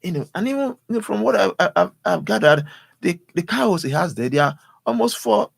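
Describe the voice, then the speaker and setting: A man with a Nigerian accent giving unscripted opinion as a news analyst, halting and repeating his words. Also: high voice, lively intonation, gravelly, slightly rough, no echo. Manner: halting, repeats words, often says "you know".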